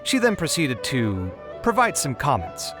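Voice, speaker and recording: A man's voice: mid-range voice, huge pitch swings, measured pace. An actor playing an earnest, easily flustered young male scholar in a video game, with a dramatic way of talking. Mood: composed, wry. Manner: dramatic, stammering when put on the spot. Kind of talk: video game character acting. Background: music.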